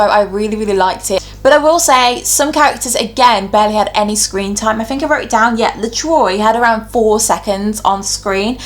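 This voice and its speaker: A young adult woman giving casual, opinionated video commentary, talking chattily and explaining her reasoning point by point. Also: medium pitch. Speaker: YouTube host giving ranked commentary.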